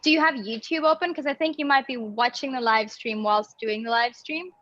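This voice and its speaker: A woman's voice: fairly high pitch, a little fast, a bit bright, no echo. A woman in her twenties from Belgium, chatting as an interview guest over a video call, bubbly and laughing between phrases. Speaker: guest, improv theater artistic director. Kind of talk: casual interview chat. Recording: dull video call audio.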